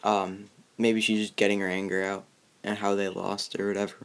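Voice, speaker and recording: A young man with a fairly low voice hosts an informal movie discussion. He rambles in a halting way, feeling out his thoughts as he goes. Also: level tone, a bit bright, clean tone. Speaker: host of a movie review video. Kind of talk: casual chat reviewing a film.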